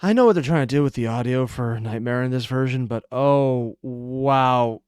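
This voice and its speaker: A man's voice: medium pitch, normal speed, darkish tone, clear, resonant tone, no room sound, clean studio sound. A man giving casual, off-the-cuff reaction commentary. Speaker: reaction video host.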